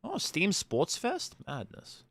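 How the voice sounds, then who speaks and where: A man's voice: high voice, wildly swooping pitch, slow, vocal fry, fairly bright tone. Blunt, profane Australian streamer guy. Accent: mild Australian. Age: late 20s. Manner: profane, blunt, filler-heavy. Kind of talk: casual chat reacting to viewer comments.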